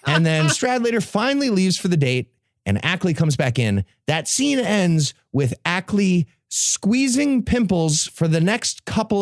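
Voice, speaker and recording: A man's voice: fairly high pitch, no echo, clean studio sound. A man hosting a comedy podcast, giving a sarcastic, profane recap to his co-hosts. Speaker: podcast host.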